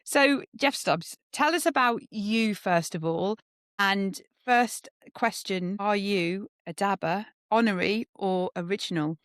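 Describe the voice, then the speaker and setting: A woman's voice: medium pitch, measured pace, a bit bright, clear, resonant tone, no echo. Woman hosting a podcast, dry studio sound. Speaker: podcast host. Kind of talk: conversational interviewing.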